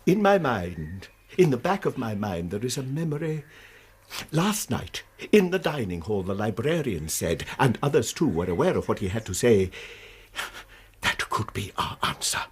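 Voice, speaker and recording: An actor playing an anxious, proud English college lecturer in a British radio drama, with an agitated, formal, donnish manner. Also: male voice, medium pitch, big pitch swings, moderate pace, slight background noise, natural voice. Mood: brooding, grave.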